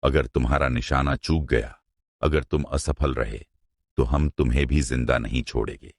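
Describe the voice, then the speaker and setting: A man's voice: rumbling low, steady tone, moderate pace, darkish tone, no room sound, clean studio sound. A man playing a cruel, commanding eldest brother in a cartoon moral story. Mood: stern, threatening. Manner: menacing, commanding.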